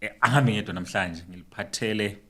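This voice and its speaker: A man hosting a podcast in a relaxed, chatty way, mixing Ndebele and English. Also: on the low side, quick pace. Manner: relaxed, chatty, laughs easily. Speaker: podcast host.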